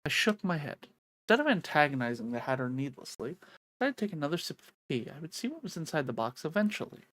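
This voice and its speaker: An expressive, excitable streamer reading a visual novel aloud in a dramatic way, with casual sweary reactions. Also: medium pitch, quick pace, dry recording.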